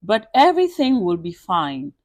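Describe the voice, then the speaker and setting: A woman voicing a gentle, troubled mother in a story, soft and reassuring with her children. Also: medium pitch, sing-song, normal speed, no room sound, clean studio sound, close to an everyday voice.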